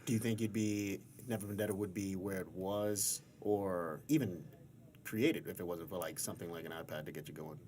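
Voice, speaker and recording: A man hosting a casual interview, bantering and asking questions in a rambling, halting way. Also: low voice, fairly even intonation, darkish tone, slight background noise. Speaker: interviewer, host.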